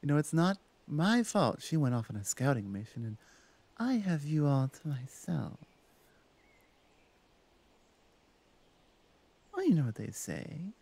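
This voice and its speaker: A woman's voice: sits low, very animated, moderate pace, dark tone, husky, full character voice. A woman playing a teasing, devoted female orc shaman in an intimate, chatty ASMR roleplay, with playful, hesitant asides. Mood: playful, flirtatious. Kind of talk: ASMR roleplay, intimate one-sided conversation.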